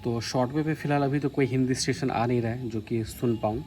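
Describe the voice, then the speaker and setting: A man from India giving a casual, explanatory hobbyist demonstration, pausing now and then mid-sentence. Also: medium pitch, fairly even intonation, over loud music. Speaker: vlogger demonstrating a vintage radio. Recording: faint background noise.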